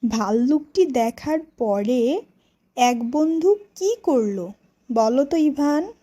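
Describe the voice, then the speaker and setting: A woman storyteller with a high, lively voice that swings in pitch. She takes her time, phrasing each line deliberately like a teacher addressing young pupils. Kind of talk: scripted children's story narration and classroom questioning.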